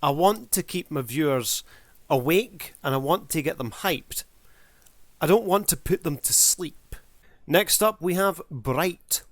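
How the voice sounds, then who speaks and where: A man's voice: on the high side, lively intonation, measured pace. A man hosting a casual video review, commenting in a showman-like way as he addresses his viewers.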